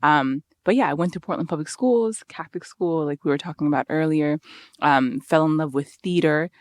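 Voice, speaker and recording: A young woman from Sudan, a podcast guest in her twenties, talking excitedly with lots of likes and ums. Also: low voice, brisk, dry recording. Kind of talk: casual chat.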